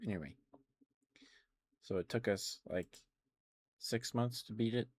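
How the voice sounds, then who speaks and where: Man, deep voice, casual ASMR streamer chat, rambling and hesitant, close dry recording. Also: unhurried, husky, no room sound. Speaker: live streamer. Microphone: close ASMR microphone.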